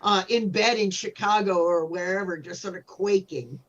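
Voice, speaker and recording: A woman's voice: mid-range voice, moderate pace, lo-fi sound. A woman speaking as a panelist in a casual discussion, thoughtful and a little hesitant in her phrasing.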